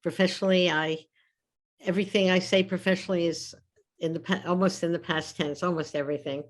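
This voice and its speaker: An older woman, a family therapist from Brooklyn, introducing herself on a video call with many pauses and fillers. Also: fairly low pitch, steady tone. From Brooklyn, New York. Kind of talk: casual self-introduction.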